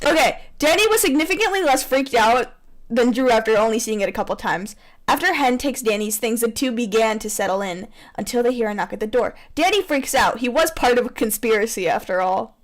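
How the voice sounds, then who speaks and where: A young woman hosting, who reads her own fanfiction aloud to friends with lively asides. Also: fairly high pitch, fairly quick. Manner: animated, breaks off into laughter and asides. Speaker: host reading fanfiction aloud. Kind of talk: comedic reading with friends.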